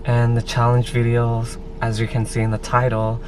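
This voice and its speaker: A young man co-hosting a casual vlog, talking in a relaxed, easygoing way. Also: medium pitch, normal speed, far from the mic, background noise. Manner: relaxed, easygoing, switching between languages. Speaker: vlog co-host. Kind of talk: casual chat.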